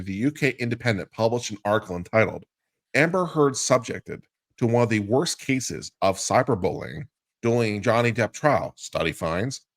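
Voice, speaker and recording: A man appearing as a guest commentator, giving a deliberate, theatrical reading of a lawsuit. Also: on the low side, dry recording. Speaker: guest commentator on a legal show.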